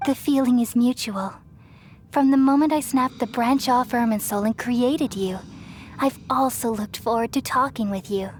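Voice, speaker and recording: A woman playing a gentle, wise, ancient tree goddess in a video game, speaking in a measured, reassuring and solemn way. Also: fairly high pitch, slight background noise, natural voice. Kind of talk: dramatic game voice acting. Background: music.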